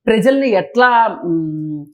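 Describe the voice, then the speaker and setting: A woman's voice: mid-range voice, expressive, slow pace, a little mellow. A woman speaking as an interview guest, giving critical, opinionated political commentary in a colloquial, conversational way.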